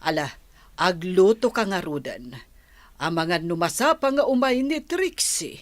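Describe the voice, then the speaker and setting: A woman's voice: lowish voice, very animated, measured pace, dry recording. A woman playing an affectionate elderly grandmother in an Ilocano radio comedy-drama. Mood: concerned, chatty.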